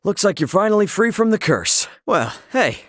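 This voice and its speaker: A man's voice: high-pitched, very animated, normal speed, no room sound, studio quality. A man playing an easygoing, cocky young adventurer hero in a video game, speaking casually and wryly. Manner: casual, colloquial, wry. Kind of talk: acted video game dialogue.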